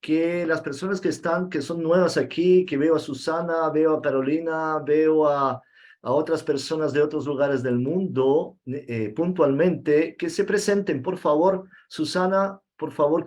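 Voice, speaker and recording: Guy hosting a video call, kinda high voice, loose and chatty with lots of restarts. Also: steady tone, a little slow, a little mellow. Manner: informal, restarts phrases, stretches fillers. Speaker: host and Spanish teacher. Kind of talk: casual video-call meeting.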